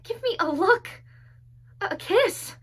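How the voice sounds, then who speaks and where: A woman performing a classical tragic monologue as a young daughter pleading with her father, from hushed whispers to desperate cries. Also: very high-pitched, expressive, slow, full character voice.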